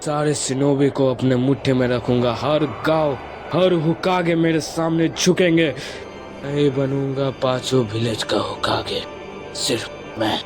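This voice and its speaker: A man's voice: medium pitch, fairly even intonation, measured pace, natural voice. A man voicing a brooding, power-hungry young ninja rival with cold, menacing declarations, in an amateur anime fan dub. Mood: angry, grandiose. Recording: music behind the voice.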